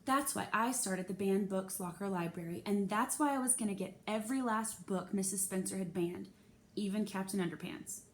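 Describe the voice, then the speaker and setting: A woman's voice: medium pitch, normal speed. Woman reading a children's novel aloud, noisy recording. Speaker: storybook reader.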